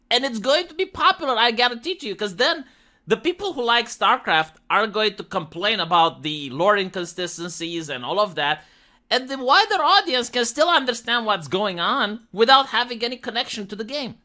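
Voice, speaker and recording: An opinionated host delivers casual commentary with emphatic, exasperated energy. The voice is bright and forceful, and the pitch moves around in a very lively way as each argument is pressed.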